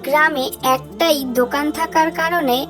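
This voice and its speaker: Female narrator, high voice, scripted storybook style, children's cartoon, clear background music. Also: fairly even intonation, normal speed. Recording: music behind the voice.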